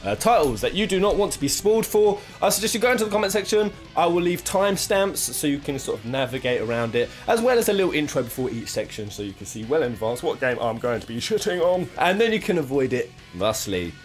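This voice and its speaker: Animated, joking man hosting a list video. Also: a little high, fast talker, hardly any pauses, soft background music. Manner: crude, florid, playful hyperbole with swearing. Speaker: video host. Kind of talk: casual, jokey list commentary.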